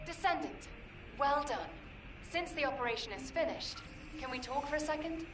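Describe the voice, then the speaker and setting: A woman's voice: high voice, normal speed, close to an everyday voice. An actress playing a courteous, composed female ally in a sci-fi video game. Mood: polite, composed. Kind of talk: video game quest dialogue. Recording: echoey, distant, noisy.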